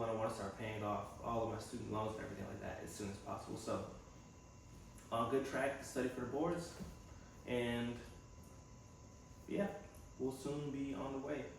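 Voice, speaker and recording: A man's voice: medium pitch, vocal fry. A young man talking casually in a personal vlog, reflective and rambling as he thinks aloud in an echoey room. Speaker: vlogger and physical therapy assistant student. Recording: echoey room from a distance, faint noise.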